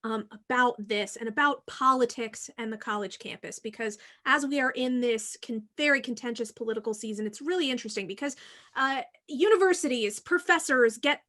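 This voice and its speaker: A woman's voice: on the high side, brisk, pushed and bright. A woman in her thirties talking casually as a podcast co-host. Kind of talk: casual chat.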